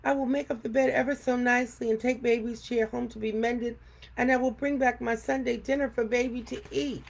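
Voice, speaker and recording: A woman's voice: a little high, fairly even intonation, a little fast, vocal fry, pitched above the performer's own voice, low-quality recording. A woman voicing a frightened, polite little girl with a tiny pleading voice in a storybook read-aloud.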